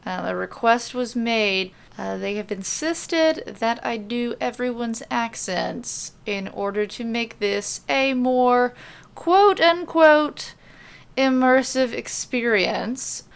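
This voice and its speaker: Slow, creaky-voiced woman podcast host. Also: fairly high pitch. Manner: self-deprecating, dry humor. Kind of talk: casual rambling podcast intro, then audiobook-style narration.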